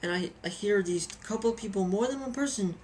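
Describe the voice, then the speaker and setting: A woman's voice: medium pitch, brisk, darkish tone, a little hiss or hum. A woman giving a casual, chatty hobby review with anecdotes, often repeating phrases for emphasis.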